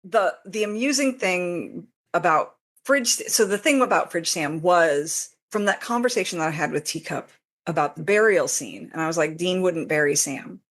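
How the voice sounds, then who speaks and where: A woman's voice: on the low side, expressive, normal speed. A woman talking casually as an interview guest about her own writing. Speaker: podcast guest, fanfiction author.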